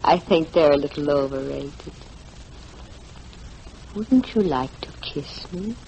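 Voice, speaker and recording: A worldly, self-indulgent femme fatale in a 1940s radio drama. Her voice sits on the low side and her phrasing is formal, over faint background noise. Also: animated, slow, gravelly, dark tone, exaggerated voice, rough recording. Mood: seductive. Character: seductive, worldly, amoral married femme fatale.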